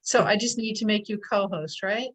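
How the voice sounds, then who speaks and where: A woman's voice: medium pitch, moderate pace, crowd noise, poor audio. A woman hosting an online science talk over a video call, speaking casually and hesitantly, with many fillers. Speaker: host of online science event. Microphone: computer mic on a video call.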